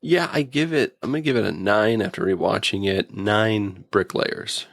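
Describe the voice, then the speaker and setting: A male co-host talks in a casual, joking review-chat style. His voice is fairly low, and the recording is dry with little room sound. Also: moderate pace, vocal fry, a bit bright, dry recording. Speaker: podcast co-host.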